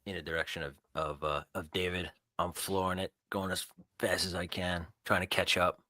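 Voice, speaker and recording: A man's voice: deep voice, level tone, normal speed, pushed and bright, dry recording, studio quality. A man narrating his character's actions in the first person during a tabletop roleplay game.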